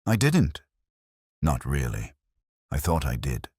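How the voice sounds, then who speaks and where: Man reading as grave, composed woman, very low-pitched. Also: husky, dry recording, studio quality, heavily acted. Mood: solemn, steady. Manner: steady, solemn, deliberate. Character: composed, grave woman making a candid public statement.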